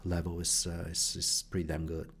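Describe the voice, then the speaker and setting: Interview guest: middle-aged man, very deep voice, halting and thoughtful, lots of fillers. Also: completely flat delivery, a bit bright, breathy edge. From Bulgaria. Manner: hesitant, many fillers and restarts. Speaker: guest, journalist and professor. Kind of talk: conversational interview answers.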